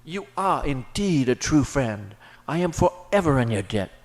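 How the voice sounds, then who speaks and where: A man voicing an earnest, lovesick young gentleman in an audiobook, with naive, theatrical, old-fashioned phrasing. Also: medium pitch, sing-song, measured pace, pitched above the performer's own voice. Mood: hopeful, grateful. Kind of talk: audiobook character dialogue.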